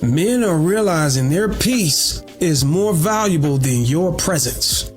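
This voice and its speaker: A man's voice: on the high side, lively intonation, takes it slowly, soft background music. A man delivering a scripted monologue on dating in an emphatic way, repeating key lines for weight.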